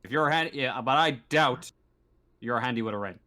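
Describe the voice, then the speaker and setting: A man voicing a defensive, dismissive worker in a sci-fi tabletop game, with drawn-out emphasis. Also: medium pitch, bright, forceful tone, natural voice.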